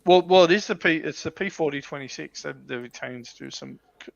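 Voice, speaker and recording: A man's voice: fairly high pitch, fairly quick, creaky, dull audio. A man co-hosting a casual hobby livestream, talking hesitantly as if thinking aloud. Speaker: show co-host.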